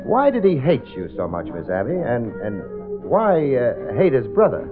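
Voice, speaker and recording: A man's voice: mid-range voice, wildly swooping pitch, normal speed. A man playing a breezy, wisecracking detective in a 1940s radio drama, both in scenes and as narrator, on a lo-fi old radio recording with music.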